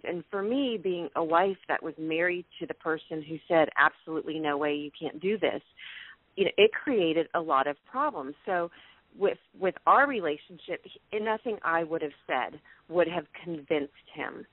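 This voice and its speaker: A woman's voice: fairly low pitch, no room sound. A woman taking part in a telephone conference call, talking in an enthusiastic, emphatic and conversational way from personal experience. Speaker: participant on a business conference call. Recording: narrow, tinny telephone conference line.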